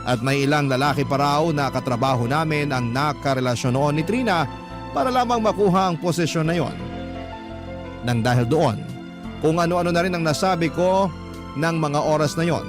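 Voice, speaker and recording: A man's voice: a little high, brisk, over music. A man narrating a first-person story in the style of a radio storyteller. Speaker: narrator reading a letter sender's story.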